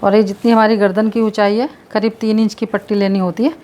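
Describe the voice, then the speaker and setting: A woman's voice: mid-range voice, little pitch movement, fast talker, dark tone. Female presenter, demonstration lesson, patient step-by-step explaining, faint background noise. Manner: explains with repetition while demonstrating. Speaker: health tips presenter.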